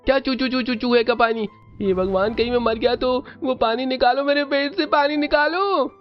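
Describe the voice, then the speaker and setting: A spoiled teenage boy from a cartoon, pitched far up into a shrill whine. He is bossy and quick to fret. The audio is a little muffled, with music underneath. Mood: panicked. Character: whiny, bullying teenage boy, spoiled son.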